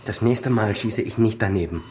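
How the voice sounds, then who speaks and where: A man's voice: lowish voice, moderate pace, darkish tone, close to an everyday voice. An actor playing a bitter, desperate adult son who accuses his father with insistent intensity, in a German radio crime drama. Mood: menacing, accusing.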